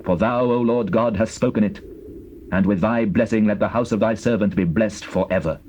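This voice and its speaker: An actor playing King David as a humble king in prayer, reverent, measured and formal, in dramatized scripture. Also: fairly low pitch, a little mellow, a little hiss or hum, close to an everyday voice, low-quality recording.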